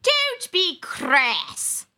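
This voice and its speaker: A woman's voice: extremely high pitch, huge pitch swings, drawn-out words, bright, forceful tone, exaggerated voice. A woman playing a pompous, easily offended English countess who is a ship's computer, theatrical and indignant in a comic audio drama. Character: haughty, easily offended bodiless ship-computer countess, snobbish and melodramatic.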